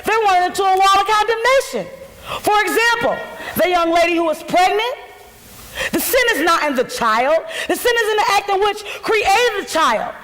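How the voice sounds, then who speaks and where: A devout, fed-up pastor's wife played by a woman on stage speaks in a very high voice. She is emphatic and reproachful, preaching right back at her husband. The blown-out bootleg audio rings through a big hall. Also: animated, normal speed, a bit bright, slight background noise. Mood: indignant. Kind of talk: gospel stage play acting.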